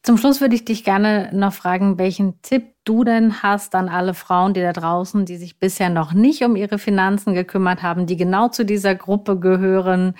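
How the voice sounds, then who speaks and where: Podcast-Moderatorin mit mittlerer Stimmlage. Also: female voice, medium pitch, fairly even intonation, moderate pace, hardly any pauses, a little mellow. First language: German. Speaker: podcast host. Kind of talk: conversational interview.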